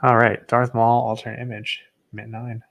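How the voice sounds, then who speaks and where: A man's voice: normal speed, vocal fry. A young man casually commenting as he unboxes graded trading cards, understated and matter-of-fact. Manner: low-key and understated, self-described as not excitable.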